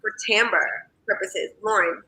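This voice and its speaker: A singer and songwriter with a raspy, scratchy voice, chatting candidly as an interview guest, rambling with many fillers and restarts. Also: medium pitch, fairly even intonation, measured pace, bright, forceful tone, soft background music. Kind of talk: casual interview chat.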